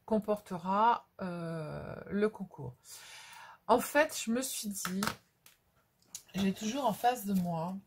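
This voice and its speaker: A woman's voice: mid-range voice, a little hiss or hum. A middle-aged woman, chatting casually and hesitantly as she hosts a craft vlog, rambling and repeating herself. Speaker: craft video host. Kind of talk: casual unscripted vlog chat.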